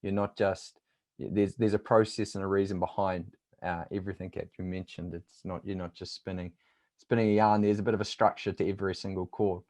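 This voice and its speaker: A man's voice: low voice, level tone, fairly quick, darkish tone. A man with a New Zealand accent in casual conversation as an interviewer and coach, informal and matey.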